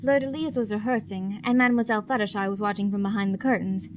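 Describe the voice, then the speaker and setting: A woman's voice: mid-range voice, fairly even intonation, quick pace, a little hiss or hum, natural voice. Woman acting a stern, formal madam. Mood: matter-of-fact reporting. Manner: formal, reproachful, dry. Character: stern, dry, composed female pony madam. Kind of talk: audio drama acting.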